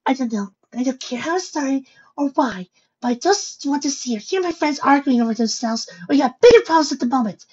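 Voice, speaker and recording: High, soft, squeaky little pegasus pony. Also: female voice, animated, fast talker, husky. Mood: scolding. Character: gentle female pegasus pony, timid but firm. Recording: dull lo-fi recording.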